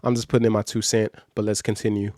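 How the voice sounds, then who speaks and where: A man's voice: fairly low pitch, level tone, fast talker, a little mellow, no room sound, clean studio sound. A man from Nigeria who grew up in the United States, with an American accent, reacting to a video as a streamer in casual slang and repeating phrases for emphasis. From born in Nigeria, grew up in the United States.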